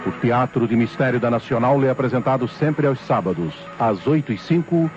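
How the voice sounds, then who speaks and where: A man with a Brazilian accent reading scripted announcements as an old-time radio announcer, formal, emphatic and measured. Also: medium pitch, darkish tone. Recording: dull vintage radio recording, music behind.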